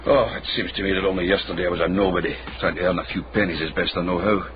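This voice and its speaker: Low-voiced wry Scotsman played by an actor, noisy recording. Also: steady tone, rapid-fire, natural voice. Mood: wry, uneasy. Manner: dry, sardonic, formal phrasing. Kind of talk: period radio drama acting. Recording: muffled, very noisy radio drama recording.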